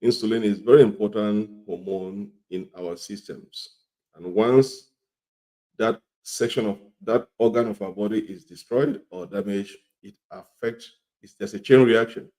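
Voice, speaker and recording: A middle-aged man with a cold and a slightly hoarse voice, teaching an informal health lesson, repeating his points and often asking "okay?". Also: lowish voice, measured pace, dark tone. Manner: repetitive, often checks in with "okay?". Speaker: health educator.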